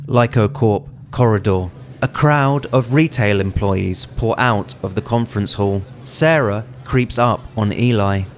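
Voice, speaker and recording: A man's voice: on the low side, a little slow, hardly any pauses, a little hiss or hum. A man reading scripted screenplay narration in the present tense, as writer and narrator of an audio drama.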